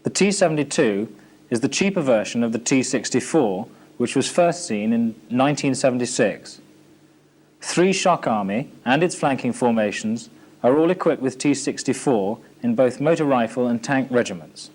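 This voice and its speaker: A man's voice: mid-range voice, expressive, moderate pace, a little mellow. A British man narrating a military training film in a formal, matter-of-fact, scripted instructional manner. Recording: 1980s archive training film soundtrack.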